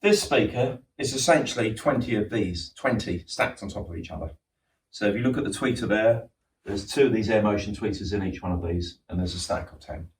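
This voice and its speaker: A man's voice: on the low side, brisk, slight background noise. A middle-aged man, a home cinema dealer, explaining speakers with enthusiasm and frequent restarts in a showroom. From England. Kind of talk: showroom product walkthrough. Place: large showroom. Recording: roomy echo, distant mic.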